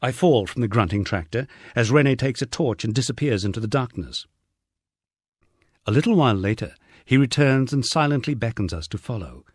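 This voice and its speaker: A man's voice: mid-range voice, animated, normal speed, no room sound. A man reading a first-person memoir as an audiobook, in a wryly understated storytelling manner. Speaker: audiobook narrator.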